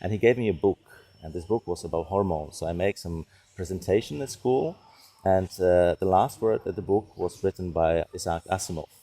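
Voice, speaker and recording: A middle-aged man with a Czech accent, a non-native English speaker, answering interview questions conversationally and haltingly as he searches for words. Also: low voice, dark tone, husky. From Czech Republic. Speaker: psychiatrist and neuroscience researcher, interview guest.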